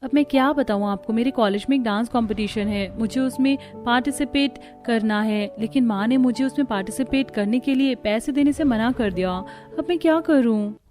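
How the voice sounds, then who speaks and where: A woman plays a scheming young sister-in-law in a cartoon. Her voice is fairly high and wheedling, with a false sweetness, over faint music. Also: normal speed, few pauses, darkish tone, lightly acted. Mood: fake sadness.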